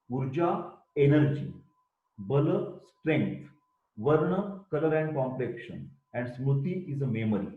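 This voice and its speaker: An older man with an Indian accent, a professor giving an emphatic lecture and reciting Sanskrit verses. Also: on the high side. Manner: emphatic, repeats key terms, chants Sanskrit verses. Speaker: Ayurveda professor. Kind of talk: recorded lecture. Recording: echoey room, tinny phone-like sound.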